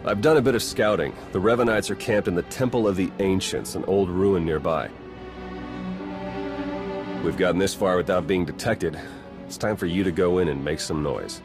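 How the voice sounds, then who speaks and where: An actor playing a wry, practical spy in a video game, talking in a casual, dry, matter-of-fact way. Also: male voice, low-pitched, moderate pace, over music. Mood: determined briefing. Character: wry, confident male Republic spy, practical and brisk.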